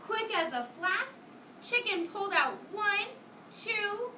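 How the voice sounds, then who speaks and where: A woman with a high-pitched voice works as a kindergarten teacher, reading a story aloud to young children. She keeps stopping to question and encourage them. The sound is roomy and picked up from a distance, with clear classroom noise behind her. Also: expressive, slow pace, sharp tone. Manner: encouraging, questioning, praising pupils.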